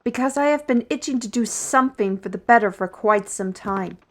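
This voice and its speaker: A woman's voice: a little high, a little fast, close to an everyday voice. A woman playing a wry, cryptic artist on parole in a fantasy audio roleplay, grudgingly hospitable and speaking with theatrical deliberation. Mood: wry, restless. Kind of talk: audio roleplay acting addressed to listener.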